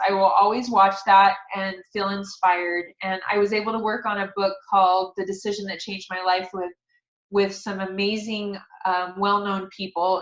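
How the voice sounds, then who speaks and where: A woman's voice: medium pitch, fairly bright tone. A middle-aged woman speaking as an interview guest, sharing personal stories in an earnest, devout way. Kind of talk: casual personal interview, storytelling. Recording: dull, roomy sound.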